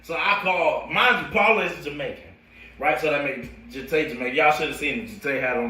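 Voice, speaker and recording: An American man who works as a coach, telling a personal story in animated, slangy casual speech and acting out the dialogue and sound effects. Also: on the high side, fairly quick, slight background noise. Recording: roomy echo, distant microphone.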